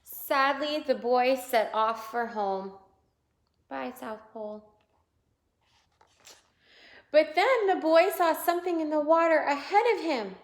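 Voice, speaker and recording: A woman reading a picture book aloud to young children in an expressive way, pausing to point out the pictures. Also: sits high. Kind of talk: children's read-aloud storytelling. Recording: roomy, echoing indoor sound.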